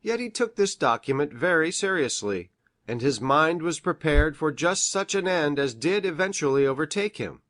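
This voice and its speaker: Lady doing a fussy eager country doctor guy for an audiobook, low pitched, very up and down, formal and precise. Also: expressive, normal speed. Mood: solemn, precise. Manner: precise, formal, earnest, effusive. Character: eager, pedantic, eccentric male country doctor and scientist. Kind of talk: audiobook character voice.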